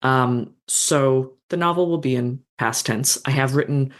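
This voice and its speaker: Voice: medium pitch, unhurried. Thoughtful author rambling with fillers. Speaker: guest author. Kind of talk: casual chat.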